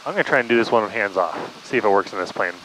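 A man working as a flight instructor, explaining hands-on in a relaxed, casual way over an aviation headset. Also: lowish voice, rapid-fire, slight background noise, poor audio. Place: inside small aircraft in flight. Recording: cockpit intercom with engine drone.

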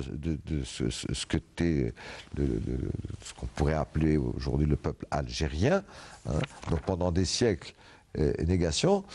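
A man's voice: extremely low pitch, gravelly, darkish tone, breathy edge. A man hosting a TV studio interview, hesitant and full of "euh" fillers, thinking aloud as he asks. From Algeria. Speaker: TV host. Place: TV studio set, indoors.

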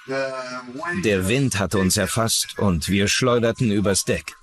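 Young deckhand, shaken, low voice, documentary voiceover, some people murmuring behind. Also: male voice, slow pace, crowd noise. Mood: shaken, recounting. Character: shaken young deckhand recounting a sea disaster. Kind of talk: documentary voice-over dub.

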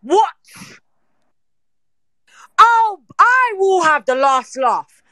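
A woman's voice: extremely high pitch, very animated, drawn-out words, bright, forceful tone, no echo, studio quality. An angry, worked-up woman with a London accent, arguing confrontationally and repetitively in a heated live group voice chat. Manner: confrontational, aggressive, repetitive, swearing.

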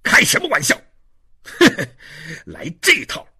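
A man's voice: on the high side, huge pitch swings, drawn-out words, creaky, husky, full character voice. A man voicing a brutal, mocking police inspector in a dramatic audiobook, barking with contempt. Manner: barking, contemptuous, forceful delivery.

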